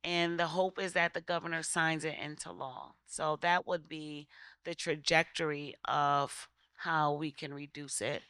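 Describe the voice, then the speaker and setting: A woman's voice: low voice, fairly even intonation, measured pace, bright, forceful tone, smooth, no echo, studio quality. A middle-aged American woman from Connecticut speaking as a legislator on a panel, conversational and often interrupting herself. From Connecticut, United States. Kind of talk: panel discussion, personal anecdote.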